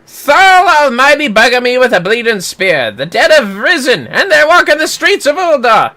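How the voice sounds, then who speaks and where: A streamer voicing a gruff, wry male informant from a video game, with rough colloquial talk. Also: high voice, big pitch swings, normal speed, bright, forceful tone, voice pushed up, full character voice. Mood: shocked.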